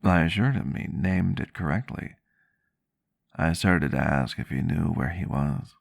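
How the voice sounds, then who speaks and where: Man playing a low, gravelly vampire doctor. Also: heavily acted. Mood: reminiscing. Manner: measured, reflective, old-fashioned phrasing. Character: male vampire doctor, ageless but youthful-seeming, reflective and gentle. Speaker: roleplay character telling a story. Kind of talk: ASMR roleplay storytelling.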